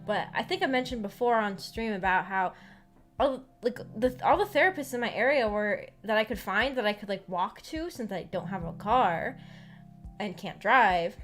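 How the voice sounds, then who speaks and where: A young adult woman livestreaming in a casual, halting, rambling chat with her viewers over background music. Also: mid-range voice, animated, a bit bright. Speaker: streamer hosting a visual novel book club.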